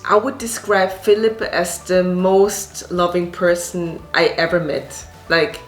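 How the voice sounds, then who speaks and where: Slow-talking woman from Switzerland. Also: fairly low pitch, steady tone, faint music behind. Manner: speaks slowly, with many fillers and restarts. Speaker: restaurant owner and actress. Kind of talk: casual interview talk.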